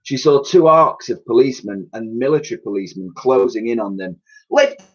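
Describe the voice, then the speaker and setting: A man reading a children's story aloud as a teacher would, with dramatic, expressive delivery. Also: mid-range voice, a little fast, husky. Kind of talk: animated storybook narration. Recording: dull, lo-fi sound.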